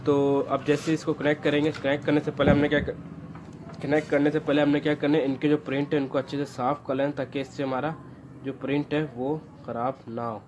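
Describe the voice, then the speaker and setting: A man's voice: on the high side, flat delivery, a little fast. A man narrating an informal hands-on repair tutorial, guiding viewers step by step in an instructional way. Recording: noticeable background noise.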